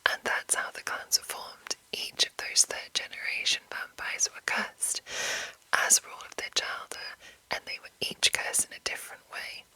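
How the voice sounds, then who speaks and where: A woman whispering an informal, rambling ASMR explainer as the host, in a breathy, unvoiced voice. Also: pushed and bright, dry recording, low-quality recording. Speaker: host of ASMR video series on roleplaying games.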